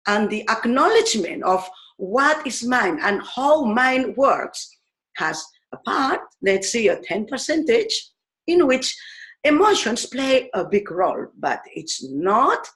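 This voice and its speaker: A woman in her fifties from Spain with a Spanish accent, a teacher explaining ideas in a thoughtful way, pausing often with fillers. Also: on the high side, a bit bright. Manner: thoughtful, pauses with many fillers. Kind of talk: explanatory talk on pedagogy.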